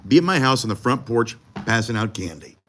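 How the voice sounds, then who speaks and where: Bright, lively male morning TV host. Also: medium pitch, brisk. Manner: jokey, teasing, self-mocking. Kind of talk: live behind-the-scenes morning show banter. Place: TV studio during a live broadcast.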